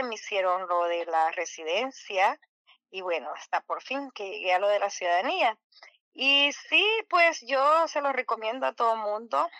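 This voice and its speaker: Salvadoran woman, middle-aged, radio guest; slow, halting delivery with lots of fillers, bright tone, slightly muffled line. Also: mid-range voice, no room sound. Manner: hesitant, many fillers. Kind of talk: casual radio interview.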